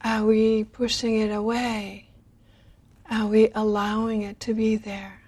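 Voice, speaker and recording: A woman leading a guided meditation in a gentle, unhurried manner, leaving long pauses between phrases. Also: mid-range voice, flat delivery, husky. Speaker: meditation teacher.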